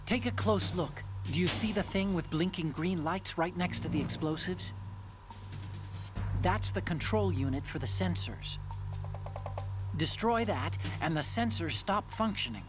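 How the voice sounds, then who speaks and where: A man's voice: high-pitched, expressive, measured pace. A man playing an earnest technical support partner in a video game, explaining things precisely. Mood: urgent, instructive. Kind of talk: scripted game cutscene acting. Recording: music behind the voice.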